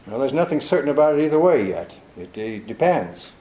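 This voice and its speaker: An actor playing a tough, sardonic private detective who talks bluntly in 1940s radio style. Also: male voice, mid-range voice, very animated, a little fast, warm tone, lightly acted. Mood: hard, blunt. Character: tough hard-nosed male private detective. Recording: roomy echo.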